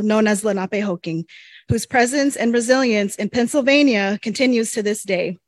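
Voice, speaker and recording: A woman from Oklahoma reading a prepared land acknowledgement in a measured, steady way. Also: mid-range voice, no echo. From Anadarko, Oklahoma. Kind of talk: formal reading of a prepared statement.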